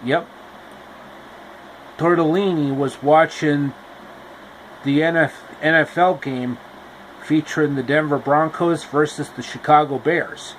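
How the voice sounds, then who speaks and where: A man retelling a classic fairy tale in a casual storybook style, with slow, repetitive explanatory asides. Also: a little high, steady tone, a little hiss or hum, poor audio.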